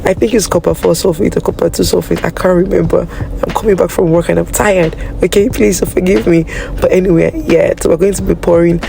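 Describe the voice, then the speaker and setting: A biology teacher, a woman with a Nigerian accent, hoarse and raspy from a flu, narrating a lab practical in a chatty, apologetic way. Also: lowish voice, steady tone, a little fast, noisy background. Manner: chatty and apologetic, with many fillers. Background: background music.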